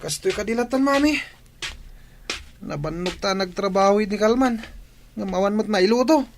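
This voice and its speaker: Casting: whiny young Ilocano farm son, high voice, noisy recording with birdsong. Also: male voice, moderate pace. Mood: weary, complaining. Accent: Filipino, Ilocano. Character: complaining young son, weary of farm hardship. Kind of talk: radio drama acting.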